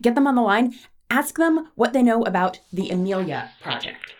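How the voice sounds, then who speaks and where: An actress playing an urgent, ambitious intelligence agent in an audio drama. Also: female voice, on the high side, very animated, normal speed, background noise. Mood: urgent alarm.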